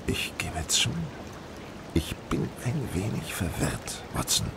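A shrewd polite detective, radio drama, precise and dry, on the low side, faint noise behind. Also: male voice, expressive, slow, exaggerated voice. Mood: puzzled, reserved. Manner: courteous, precise, dryly ironic. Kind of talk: German radio play acting.

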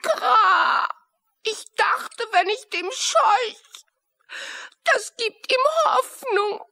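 An excitable female crow in a children's radio play. The voice is extremely high, sharp and cawing, and the words come very slowly, with halting exclamations. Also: creaky, exaggerated voice. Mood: agitated, remorseful. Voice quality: cawing, shrill.

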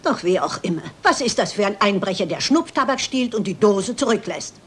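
An elderly lady sleuth played by a woman with a medium-pitched voice. She is dignified, polite and inquisitive, speaking precisely in a crime radio drama. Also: expressive, brisk, lightly acted. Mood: shrewd, insistent. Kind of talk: German crime radio play acting.

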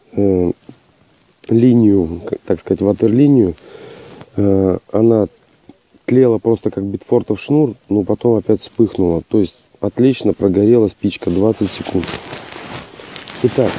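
A man with a hoarse voice from a cold, testing gear outdoors in a plain, matter-of-fact way with hesitant fillers. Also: on the low side, mellow. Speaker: outdoor channel host. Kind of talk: casual outdoor gear test. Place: outdoors on a rocky hillside.

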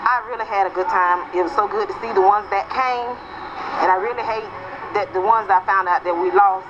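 A woman's voice: medium pitch, a little fast. A woman in her forties giving a casual, chatty greeting to a video camera. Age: 40s. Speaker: class reunion attendee. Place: indoor hotel hallway. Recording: dull lo-fi camcorder, noisy room.

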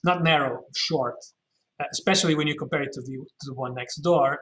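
A man's voice: on the high side, a little fast, a bit bright, low-quality recording. Man giving a hesitant, informal guided tour. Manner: hesitant, self-correcting, thinking aloud. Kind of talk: informal guided virtual walking tour commentary.

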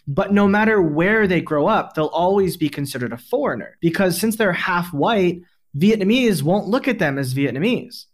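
A man's voice: high voice, lively intonation, normal speed, hardly any pauses. A man hosting a podcast, explaining his points at length in a conversational way. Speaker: podcast host.

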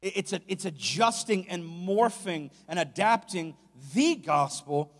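A man in his forties with an American accent preaching a sermon emphatically into a handheld microphone, moving between quiet asides and loud stresses. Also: high voice, lively intonation, a little slow. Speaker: pastor preaching. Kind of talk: sermon to a congregation. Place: large church stage, indoors. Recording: long hall reverb from stage PA.

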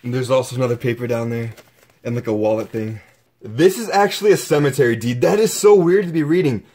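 An excitable young man narrates his own exploration vlog, exclaiming over each new find. His voice is slightly husky and sits at an ordinary pitch, and it is caught close by a handheld camera. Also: fast. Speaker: exploration vlogger.

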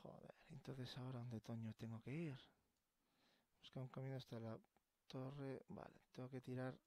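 A man's voice: medium pitch, level tone, moderate pace, a little hiss or hum. A man with an accent from Spain giving casual, sweary let's play commentary, muttering to himself. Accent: Spanish, from Spain. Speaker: gamer. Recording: loud game ambience behind voice.

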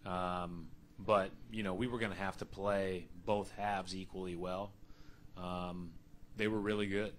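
A young man speaking as head coach at a postgame press conference into a table mic, measured and full of fillers and restarts. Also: deep voice, flat delivery, a little hiss or hum. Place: arena press room.

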